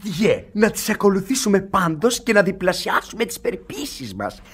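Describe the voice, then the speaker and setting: An excitable, husky, fawning schemer. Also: male voice, high voice, heavily acted. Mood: scheming, agitated. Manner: obsequious, agitated. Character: fawning, scheming father, excitable social climber. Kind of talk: radio theatre farce acting.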